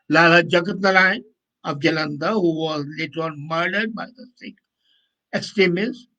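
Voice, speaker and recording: Male commentator, notably high voice, emphatic and opinionated, off-the-cuff delivery. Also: fairly bright tone, low-quality recording. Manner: emphatic, opinionated, self-correcting. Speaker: commentator and host answering viewer comments. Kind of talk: unscripted political commentary.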